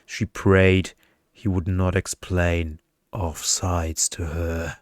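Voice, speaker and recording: A man narrating a story in a deliberate, deadpan ASMR style meant for sleep, close to the microphone. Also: low-pitched, no echo.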